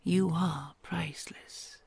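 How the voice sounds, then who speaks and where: A woman's voice: on the low side, steady tone, no echo, exaggerated voice. A woman voicing a kind, solemn and lonely female dragon queen in an audio roleplay, whispering in formal, courtly phrasing. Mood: tender whisper. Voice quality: whispery, gravelly. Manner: formal, courtly old-fashioned phrasing, soft and slow.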